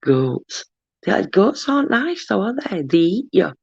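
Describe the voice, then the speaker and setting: A man's voice: sits high, sing-song, unhurried, vocal fry, slightly rough, no room sound. A man hosting a late-night live chat, rambling casually with lots of fillers and asides. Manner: rambling, chatty, lots of fillers and "do you know". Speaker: host. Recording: dull, dry lo-fi sound.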